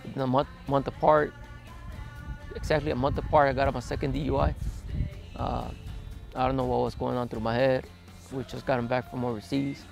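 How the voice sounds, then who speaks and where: A young man in his twenties speaks outdoors in a quiet desert yard, his voice heavily gravelly and fried. He talks flatly with little rise and fall, stopping often on hesitant "uh"s as he answers. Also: medium pitch, soft background music. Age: 20s. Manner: plain, halting, with many "uh" pauses. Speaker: interviewee. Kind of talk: documentary interview, recounting his past.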